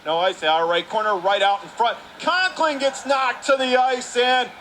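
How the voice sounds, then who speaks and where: High-voiced male hockey announcer. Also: moderate pace, slight background noise. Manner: excitable, clipped phrasing. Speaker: radio play-by-play announcer. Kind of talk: live ice hockey play-by-play commentary. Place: commentary position at an indoor ice rink.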